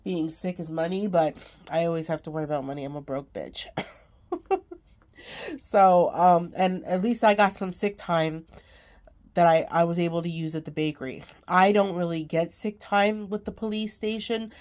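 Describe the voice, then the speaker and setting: A woman's voice: fairly low pitch, moderate pace, darkish tone. A sick, congested woman chatting casually to her phone camera at home, rambling with many ums and small laughs. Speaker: craft vlogger.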